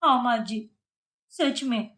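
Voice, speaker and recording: A woman's voice: a little high, lively intonation, slow pace, fairly bright tone. A woman voicing a whiny, food-loving young Indian daughter-in-law in a Hindi cartoon story, pleading and dramatic. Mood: defensive, meek. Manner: pleading, dramatic, self-pitying.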